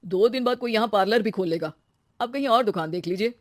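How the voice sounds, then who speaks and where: A woman's voice: mid-range voice, fast talker, no echo, close to an everyday voice. A woman plays a shop landlord in a story, a man who will not budge. He sounds matter-of-fact and dismissive, with a dry, close sound.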